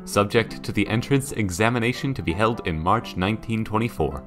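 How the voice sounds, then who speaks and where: A man's voice: lowish voice, brisk, music in the background. A man reading old newspaper items aloud as a scripted voice-over. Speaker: reader of newspaper clippings.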